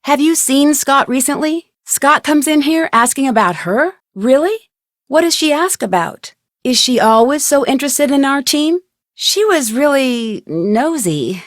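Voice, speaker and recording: An actress playing a polite, inquisitive young woman detective in a video game, speaking in a clear, friendly, conversational way. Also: high voice, animated, a little slow, dry recording, studio quality. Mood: curious. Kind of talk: video game dialogue acting, isolated conversational lines.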